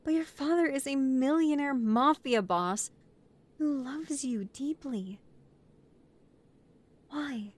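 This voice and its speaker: Woman playing loyal mafia newcomer, unhurried delivery, earnest, loving, emotional, romantic audio drama. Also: high voice, voice pushed up. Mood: hurt, upset. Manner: earnest, emotional, polite to superiors. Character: devoted young woman, new mafia recruit, earnest, loving partner.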